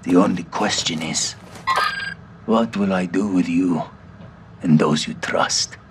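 An actor playing a defiant, grave militant in a video game, speaking intensely and solemnly. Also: male voice, deep voice, slow pace, background noise. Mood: defiant, urgent. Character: zealous militant brother, fighter, defiant and grave. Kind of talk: cinematic video game acting.

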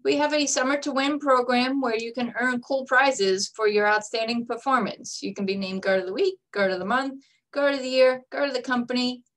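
A woman presenting a scripted recruitment pitch to students as a staffing director, reading lists in a brisk upbeat tone. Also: a bit bright.

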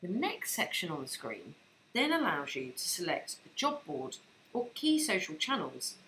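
Lively woman narrating a software tutorial. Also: fairly low pitch, moderate pace, slight background noise, low-quality recording. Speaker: software trainer.

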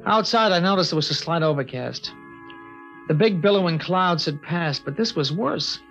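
Young male lead, earnest teen narrator, slightly high, old radio sound. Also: lively intonation, moderate pace, creaky, a bit bright, soft background music. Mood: reflective. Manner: plain, reflective, a little hesitant. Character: earnest teenage boy narrating the last day. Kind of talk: 1950s radio drama acting and narration. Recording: dull 1950s radio broadcast recording.

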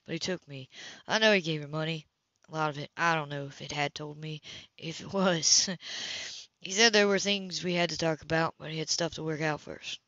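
A woman's voice: low voice, fairly even intonation, normal speed, fairly bright tone, dry recording. A woman reader voicing a frank, guarded, streetwise young boy in a matter-of-fact, slangy way. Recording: dull lo-fi home recording.

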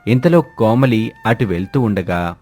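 A man's voice: on the low side, animated, measured pace, a little mellow, faint music behind. A man narrating a scripted family story as a storyteller.

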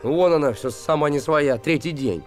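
A man's voice: fairly high pitch, moderate pace, soft background music, natural voice. An actor as a blunt, lovesick provincial handyman. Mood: bitter, pained. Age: 30s. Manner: colloquial, blunt, earthy. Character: gruff, warm-hearted provincial handyman, lovesick and bitter. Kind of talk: naturalistic television drama acting. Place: small wooden house room.

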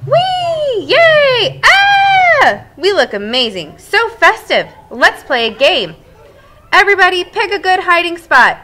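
A giddy gang of runaway eggs playing in a store, as a woman reading aloud puts them on. They squeal high, loud and bright, with wild leaps in pitch. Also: moderate pace, a little hiss or hum, heavily acted. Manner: squealing, excited.

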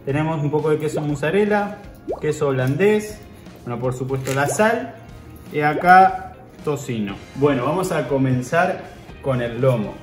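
A man in his thirties with an Argentine accent, hosting a cooking show and calmly talking viewers through each step as he works. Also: on the high side, normal speed, faint music behind. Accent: Argentine Spanish. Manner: talks viewers through steps while working with his hands. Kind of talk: casual cooking demonstration. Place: outdoor grill patio.

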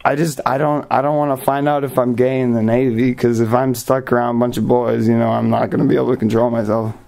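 A man's voice: mid-range voice, level tone, fast, gravelly, a little mellow. A young man making a prank phone call in character, stammering and hesitant, sounding naive and distressed. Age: young adult. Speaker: prank caller. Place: small bedroom, indoors.

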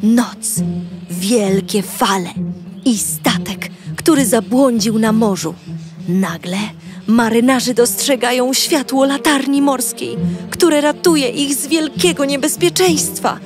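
A woman's voice: on the high side, takes it slowly, over music. A Polish-accented woman telling a children's audio story in the first person as a cheerful young girl.

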